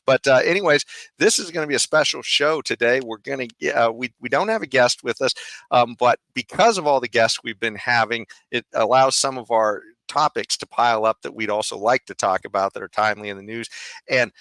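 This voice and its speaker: A hoarse man hosting a podcast panel, talking in a rambling way with many fillers. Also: mid-range voice, a little fast, fairly bright tone, no echo, rough recording. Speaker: podcast host. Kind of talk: casual panel chat.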